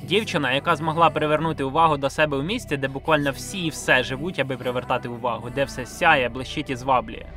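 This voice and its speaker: A man's voice: medium pitch, fast talker, hardly any pauses. A man narrating a scripted video essay in a reflective, sentimental way, with casual slang and some swearing. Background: music behind the voice.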